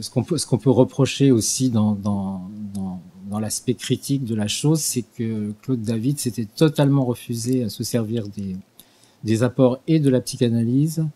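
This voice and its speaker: An older man giving an unscripted talk in a small studio at a desk microphone, halting with frequent restarts and fillers. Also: medium pitch, warm tone, breathy edge. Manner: hesitant, many restarts and fillers. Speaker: guest lecturer. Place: small studio with dark purple backdrop. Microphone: desk mic on stand.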